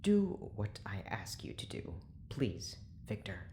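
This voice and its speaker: A man's voice: on the low side, big pitch swings, slow, a little mellow, slight background noise, exaggerated voice. A man acting as a stern, impatient prison research doctor in an audio drama, speaking in a clipped, formal, exasperated way.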